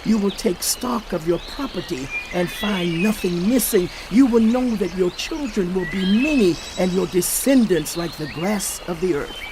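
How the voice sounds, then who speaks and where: Solemn biblical counselor speaks in a high male voice at a slow, measured pace. He sounds earnest and declamatory, as in an audio scripture drama, with clear birdsong and outdoor ambience behind him. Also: background noise. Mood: uplifting, emphatic. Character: Eliphaz, male biblical elder earnestly counseling a suffering friend.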